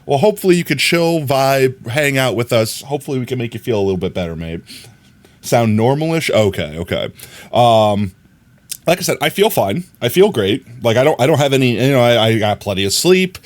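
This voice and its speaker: A man's voice: mid-range voice, lively intonation, brisk. Young man, slightly nasal voice, chatty live-stream rambling, lots of fillers and giggles. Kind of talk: casual chat with a live stream chat.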